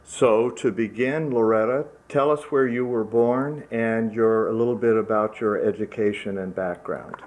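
A man conducting an oral history interview, introducing it formally and asking measured questions. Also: on the low side, fairly even intonation, darkish tone.

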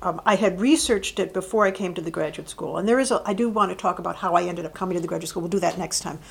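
A middle-aged woman who works as a university administrator, answering interview questions in a thoughtful, halting way with frequent restarts. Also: fairly low pitch, creaky, a little hiss or hum. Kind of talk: oral history interview.

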